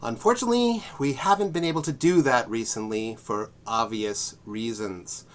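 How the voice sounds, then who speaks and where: A man's voice: medium pitch, normal speed. A man giving a prepared welcome announcement as the host introducing an audio theatre show.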